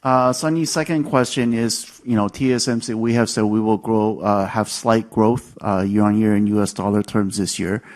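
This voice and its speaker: Male investor relations moderator, lower register, orderly and explanatory as he restates questions. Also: steady tone, normal speed, few pauses, a little mellow.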